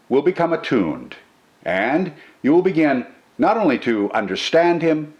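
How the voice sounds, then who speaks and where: An older man giving scripted explanatory narration about literature as a host, in a measured, articulate, teacherly way. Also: medium pitch, dull audio. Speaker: poetry reader and commentator, host.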